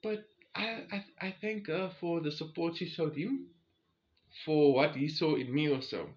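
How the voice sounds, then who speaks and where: A forty-year-old South African man casually telling a personal story to his viewers in an expressive, chatty way. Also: fairly high pitch, unhurried, lo-fi sound. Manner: expressive, chatty, acts out quoted exchanges. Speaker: vlogger.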